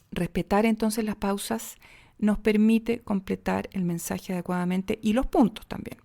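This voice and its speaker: A Chilean woman teaches diction in a podcast lesson, her voice dark and mellow with a gravelly edge. She shows pauses and tempo shifts as she goes, swinging her pitch and pace to make each example. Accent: Chilean Spanish. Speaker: speech and diction coach.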